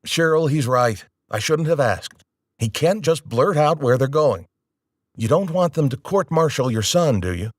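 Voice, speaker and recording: A man voicing a loud, hearty, affectionate father talking on the phone. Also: on the high side, animated, normal speed, no room sound, clean studio sound, pitched above the performer's own voice. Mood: earnest.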